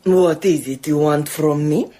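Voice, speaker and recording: An actress playing an imperious, volatile foreign Contessa in a radio drama, with a haughty, menacing, melodramatic delivery. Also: female voice, sits low, measured pace, warm tone. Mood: icy, contemptuous.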